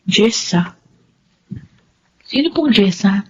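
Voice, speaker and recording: A woman playing a polite but outspoken young woman, earnest and expressive, with a Filipino accent, in a radio drama. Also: medium pitch, extremely slow, slightly rough, muffled recording, full character voice. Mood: polite concern. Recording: noisy ambience behind.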